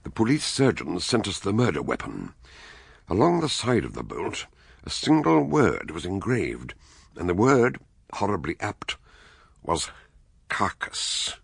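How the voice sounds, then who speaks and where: An actor playing the grave, measured narrator of a radio detective mystery, telling it with suspense. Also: male voice, sits low, huge pitch swings, vocal fry, husky, no echo, studio quality, heavily acted.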